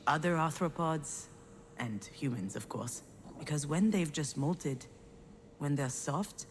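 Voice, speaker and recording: An actress playing a failed screenwriter, a cynical, melancholic loner, in a measured, confiding, wry game monologue. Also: female voice, low-pitched, slight background noise. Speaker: narrator protagonist of a visual novel. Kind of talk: introspective scripted monologue.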